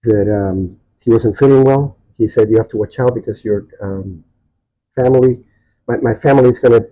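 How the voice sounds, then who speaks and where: A man in his sixties from Chile, a Spanish speaker, telling personal stories haltingly as he searches for words. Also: medium pitch, highs cut off. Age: 60s. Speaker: podcast guest. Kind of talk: personal storytelling in a casual interview.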